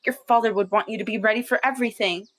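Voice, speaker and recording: A woman's voice: fairly high pitch, very fast, fairly bright tone, lightly acted. Haughty, sneering she-cat leader acted by a woman. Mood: defensive. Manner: sneering, excuse-making. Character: haughty, defensive female cat clan leader.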